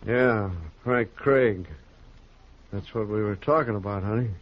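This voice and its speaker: An actor playing a jealous, stubborn young western rancher in 1950s radio style. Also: male voice, lowish voice, a little slow, close to an everyday voice. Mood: grudging. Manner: stubborn, defiant. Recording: muffled old radio recording.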